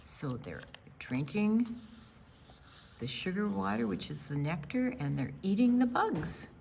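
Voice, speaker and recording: An older woman reading a picture book to children as a storytime presenter, with deliberate storyteller phrasing and dramatic pauses. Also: medium pitch, very animated, gravelly, darkish tone, slight background noise.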